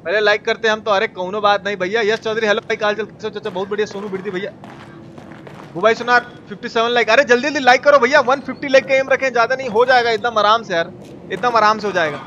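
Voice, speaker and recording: A man with a high, bright voice commentates live on a game he is playing. He talks informally to his viewers and swears freely. Game ambience and clear noise sit behind him. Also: quick pace, lo-fi sound. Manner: informal, foul-mouthed, talking to viewers. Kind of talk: live gameplay commentary with chat shout-outs. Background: video game ambience.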